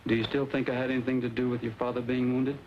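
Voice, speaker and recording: An actor playing an earnest, upright cowboy hero in an old western film. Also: male voice, medium pitch, flat delivery, quick pace, natural voice. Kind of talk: 1940s western film acting. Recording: muffled, noisy old film soundtrack.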